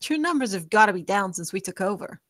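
A woman's voice: mid-range voice, expressive, fast talker, a bit bright, no echo, studio quality, close to an everyday voice. A woman playing a tough, streetwise mother who leads a crew, blunt, stern and guarded in a script read.

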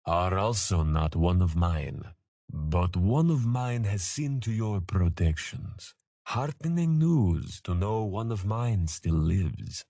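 A man voicing an ancient male dragon in a fantasy audiobook, gravelly, formal, grave and patient, with weighty, slow delivery. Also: low-pitched, expressive, warm tone, dry recording, studio quality, exaggerated voice. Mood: calm, patient. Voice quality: gravelly, tight with disuse.

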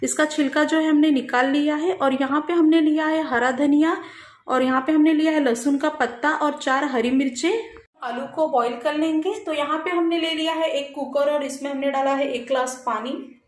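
Woman cooking-show host, high voice, echoey kitchen, calm step-by-step instructions. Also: normal speed, a little hiss or hum. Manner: calm, instructional, repeats "we will add". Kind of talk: step-by-step recipe narration. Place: home kitchen.

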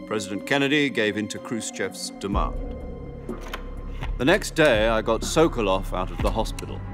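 An actor playing a grave middle-aged intelligence officer briefing an agent in a game cutscene, speaking in a measured, solemn way. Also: male voice, on the low side, expressive, music in the background, lightly acted. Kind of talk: dubbed video game cutscene. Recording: game audio with loud score.

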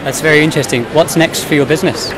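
A man's voice: on the high side, fairly even intonation, fast talker, low-quality recording. A man interviewing a guest for trade media, putting prepared questions at an exhibition. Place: busy exhibition hall. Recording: busy trade-show crowd noise. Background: crowd hubbub.

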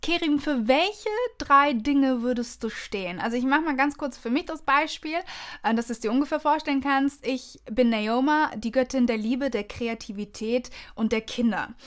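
A woman's voice: high voice, normal speed. A woman hosting a podcast interview in an effusive, encouraging manner. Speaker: podcast host.

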